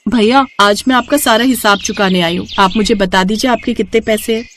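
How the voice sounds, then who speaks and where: A woman's voice: medium pitch, fairly quick, a little hiss or hum, lightly acted. A woman voicing an earnest, grateful young village milk seller in a cartoon story, speaking respectfully.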